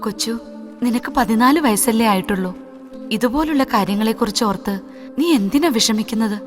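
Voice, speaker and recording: A woman with a Malayali accent playing a caring, concerned elder sister in a cartoon, gentle and questioning. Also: fairly high pitch, fairly quick, soft background music, close to an everyday voice.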